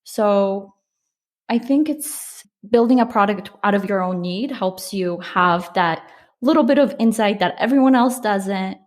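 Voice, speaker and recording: A woman's voice: mid-range voice, a little slow. Reflective woman guest, lots of restarts. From Poland. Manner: reflective, frequent restarts and "like" fillers. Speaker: startup founder guest. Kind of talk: casual interview conversation.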